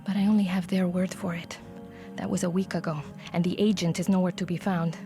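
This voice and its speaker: A woman's voice: on the low side, level tone, brisk, lightly acted. A woman voicing a weary, wary official in a video game, grave and exasperated, with a Spanish accent. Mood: anxious, weary. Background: music.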